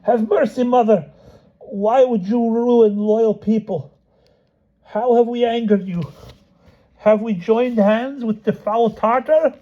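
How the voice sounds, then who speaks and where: A man voicing a crowd of peasant Cossacks, crying out in high, wailing pleas. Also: fairly even intonation, takes it slowly. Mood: pleading. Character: group of pleading peasant Cossack men. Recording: dull lo-fi recording.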